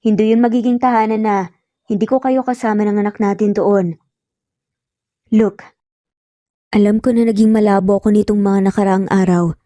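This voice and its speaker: A woman plays an earnest young man in love from a romance audio story. He is gentle, teasing and sincere, mixing in English. The voice is mellow and dry. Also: mid-range voice, fairly even intonation, normal speed, pauses often, dry recording, lightly acted. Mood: tender, sincere.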